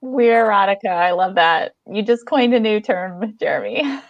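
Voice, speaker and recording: A woman's voice: medium pitch, normal speed, no echo, dull audio. A woman hosting a casual live podcast chat, speaking in an enthusiastic, complimentary way. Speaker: podcast host.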